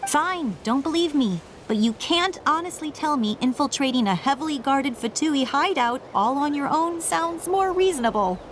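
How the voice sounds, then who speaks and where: A woman's voice: sits high, expressive, moderate pace, few pauses, slight background noise. A woman voicing a stubborn, spirited younger sister from a tribe in a video game, with an insistent, plucky manner. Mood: stubborn, worried. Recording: game music behind the voice.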